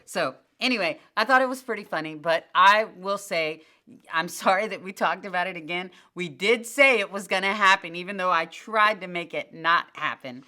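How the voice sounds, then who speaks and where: Bright-voiced grandmother podcast host. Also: lowish voice, moderate pace. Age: older. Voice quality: monotone. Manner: plainspoken, motherly, emphatic advice. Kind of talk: casual church podcast chat.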